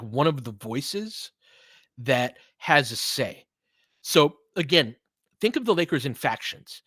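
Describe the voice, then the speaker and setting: A man's voice: fairly high pitch, fairly bright tone, dry recording. A man giving casual, opinionated basketball commentary as a podcast host, emphatic and conversational, often checking in with rhetorical questions.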